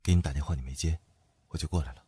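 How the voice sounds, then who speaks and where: A man playing a calm, tender, protective lover in an audio drama, speaking gently and soothingly. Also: rumbling low, very fast, background noise. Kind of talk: Chinese audio drama acting.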